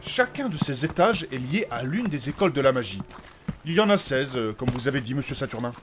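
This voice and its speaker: A man's voice: mid-range voice, sing-song, a little fast, slight background noise, close to an everyday voice. A man playing a polite, pedantic fantasy guide who speaks in a formal, precise and mannered way. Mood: earnest, explaining.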